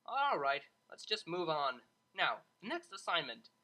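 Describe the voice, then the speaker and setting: A narrator voicing an excitable, theatrical male pony tutor in an audiobook, exclaiming and pushing for attention. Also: medium pitch, unhurried. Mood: prodding. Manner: exclamatory, animated. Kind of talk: audiobook character voice.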